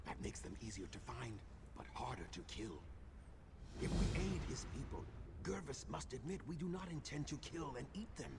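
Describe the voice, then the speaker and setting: A grave lizard-folk healer from a video game, speaks slowly and with bitter weight. His voice is gravelly and rises and falls a good deal. The game audio carries clear background noise. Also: medium pitch, animated. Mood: solemn. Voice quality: gravelly, measured and solemn. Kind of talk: video game character dialogue.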